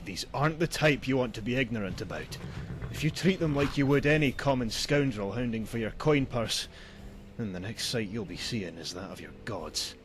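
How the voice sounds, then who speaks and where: An actor playing a wry, cynical traveling merchant in a video game, with a theatrical, sardonic manner and old-fashioned phrasing. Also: male voice, on the low side, background noise, natural voice. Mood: wry warning. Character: wry, cynical male traveling merchant, grim about bandits. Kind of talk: voiced video game dialogue.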